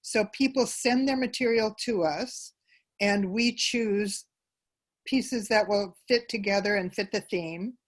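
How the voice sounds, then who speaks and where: A woman's voice: mid-range voice, slow pace. A woman giving conversational answers as an interview guest about her work in a theater troupe.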